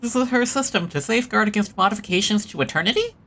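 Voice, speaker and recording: A woman's voice: mid-range voice, lively intonation, fast. A woman streaming a video game, reacting casually with laughing asides. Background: loud game music.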